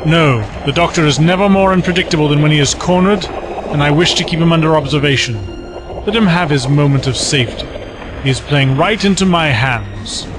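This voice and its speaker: A man voicing a cold, scheming male villain in an audio drama, commanding and measured. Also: fairly high pitch, over music, close to an everyday voice, low-quality recording. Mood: gloating.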